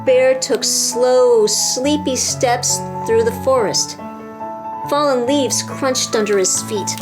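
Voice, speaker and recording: High-voiced woman reading to children, music behind. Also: takes it slowly. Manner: expressive, simple phrasing for young children. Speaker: children's librarian, storytime host. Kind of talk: children's storybook read-aloud.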